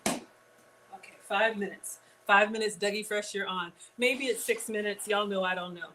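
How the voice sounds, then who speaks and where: A woman's voice: medium pitch, moderate pace, background noise. A woman hosting a live-streamed cooking class in a home kitchen, chatting straight to her viewers with casual asides. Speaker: cooking show host.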